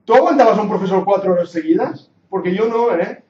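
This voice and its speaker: A man's voice: high voice, quick pace, mellow. A man in his forties from Catalonia with a Catalan accent mixed with English, giving an earnest, jokey Bible class that rises to emphatic preaching. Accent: Catalan Spanish mixed with English. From Catalonia, Spain. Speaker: Bible teacher. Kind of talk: classroom lecture, sermon-like. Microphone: handheld or lapel mic. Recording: muffled echoey hall recording.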